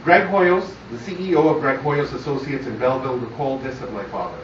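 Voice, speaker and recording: A man with a Barbadian accent delivers a scripted memorial speech about his father in measured, formal storytelling fashion. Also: medium pitch, a little hiss or hum. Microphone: phone camera far from the speaker. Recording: distant, muffled phone recording, echoey room.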